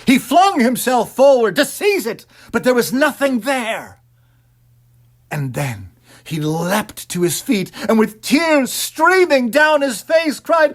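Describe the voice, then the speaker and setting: A man narrating a ghost story in an intense, theatrical way, swinging between hushed and shouted delivery. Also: sits high. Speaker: audiobook narrator.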